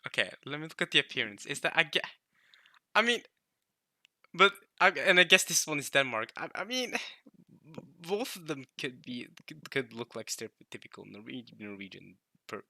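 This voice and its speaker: Brief: Norwegian male reactor, waffling casual talk, sharp bright voice, no room sound. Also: a little high, sing-song, normal speed, no room sound. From Norway. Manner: rambling, self-interrupting, laughing often. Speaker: reaction video host.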